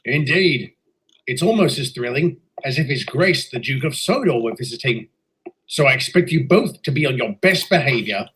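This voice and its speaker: A man's voice: medium pitch, animated, normal speed, a bit bright, slight background noise, voice pushed down, lightly acted, rough recording. A man voicing a strict, grandfatherly old engine who scolds in a stern, firm way in a fan audio drama.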